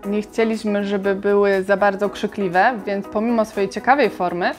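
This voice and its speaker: A woman architect calmly explaining her interior design choices in an interview, with occasional filler sounds. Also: mid-range voice, normal speed, faint music behind.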